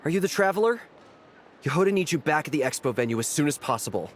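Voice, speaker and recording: Flustered male messenger voiced by an actor, fairly high lively voice, apologetic, game dialogue, noisy background. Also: natural voice. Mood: polite urgency. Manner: hesitant, hurried, apologetic. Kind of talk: video game character dialogue.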